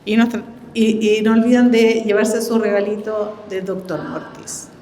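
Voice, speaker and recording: A middle-aged woman with a Chilean accent giving an informal welcome speech as a director, halting as she searches for words. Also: mid-range voice, fairly even intonation, warm tone. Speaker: library director giving an opening address. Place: indoor exhibition event in a library. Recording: echoey hall, reverberant, faint background noise.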